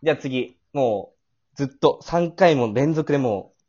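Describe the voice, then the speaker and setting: A jovial, chatty man hosting a casual radio drama cast talk, quick to laugh. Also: mid-range voice, lively intonation, dark tone, highs cut off. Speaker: host.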